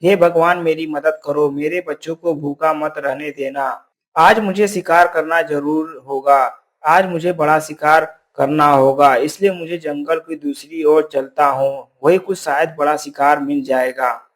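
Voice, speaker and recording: A man's voice: on the high side, fairly even intonation, measured pace, natural voice. A man playing a stubborn, grumbling poor village hunter in a cartoon story. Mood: pleading, resolved. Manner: complaining, self-justifying.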